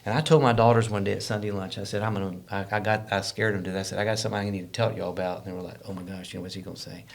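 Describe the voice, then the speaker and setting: A man's voice: on the low side, little pitch movement, very fast, creaky. A middle-aged man with a Southern US accent telling personal stories earnestly as a podcast guest, repeating words for emphasis. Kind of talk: personal storytelling.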